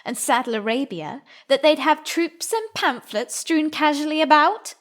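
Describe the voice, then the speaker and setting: Woman as a high-voiced, feisty pony lieutenant. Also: expressive, moderate pace. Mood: challenging. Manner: assertive, indignant, clipped. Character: bold, combative female pony military lieutenant. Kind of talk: fan-made radio drama acting.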